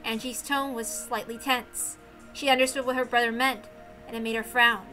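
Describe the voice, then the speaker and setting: Bright-voiced woman narrating. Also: fairly high pitch, fairly even intonation, normal speed, soft background music, poor audio. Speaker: reader and narrator. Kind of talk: expressive scripted narration.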